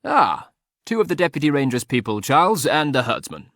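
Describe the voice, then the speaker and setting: A man reading a lazy, ironic aristocratic gentleman who drawls his words dryly, in an audiobook. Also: mid-range voice, wildly swooping pitch, brisk, dry recording, studio quality. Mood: drawling.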